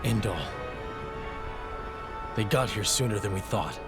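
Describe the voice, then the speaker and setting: A man's voice: lowish voice, measured pace, lightly acted. A man acting a terse, grave leader of villains in a video game cutscene. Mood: commanding. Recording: loud music behind the voice.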